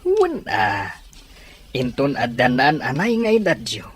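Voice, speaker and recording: A man's voice: mid-range voice, huge pitch swings, slow, breathy edge, exaggerated voice. A man playing a kindly, playful old grandfather in an Ilocano radio drama, with a coaxing, chuckling delivery. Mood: playful, fond.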